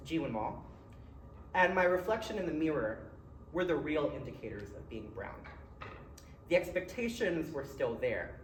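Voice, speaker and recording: A man with a Philadelphia accent giving a personal keynote speech in a conversational way, with short dramatic phrases and wry asides. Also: fairly high pitch. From Philadelphia area, United States. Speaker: keynote speaker. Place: college event room. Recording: roomy, distant mic with faint noise.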